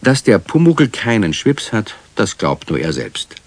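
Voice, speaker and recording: A man narrating a children's radio play as a calm, wry storyteller in a scripted delivery. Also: lowish voice, measured pace, a little hiss or hum. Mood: wry, storytelling.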